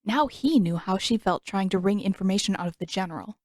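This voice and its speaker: A woman's voice: mid-range voice, a little fast, darkish tone, dry recording. A woman reading scripted fiction expressively as a storyteller, close to the microphone. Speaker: podfic narrator and author. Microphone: close microphone, dry room.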